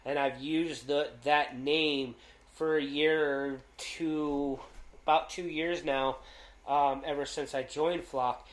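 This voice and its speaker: Loud guy rambling on a podcast, lots of ums, roomy echo. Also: on the high side, steady tone, slow pace. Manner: rambling, with many fillers and restarts. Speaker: podcast co-host. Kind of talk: casual podcast chat.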